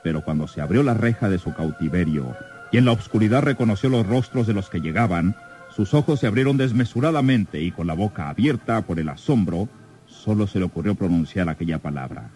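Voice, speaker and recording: A man's voice: lowish voice, expressive, fairly quick, mellow, soft background music. A man with a Mexican accent giving scripted dramatic narration for a radio serial.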